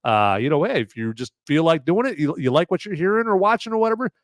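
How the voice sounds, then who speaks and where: A man's voice: on the high side, very animated, fast talker, no echo, clean studio sound. A man hosting a live movie review podcast, rambling in a jokey, irreverent way. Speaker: podcast host.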